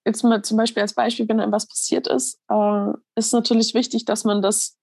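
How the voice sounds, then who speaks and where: Woman, slightly hoarse, earnest, filler-heavy, dry close sound. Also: fairly high pitch, little pitch movement, brisk, a little mellow, no room sound. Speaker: musician guest. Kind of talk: casual interview talk.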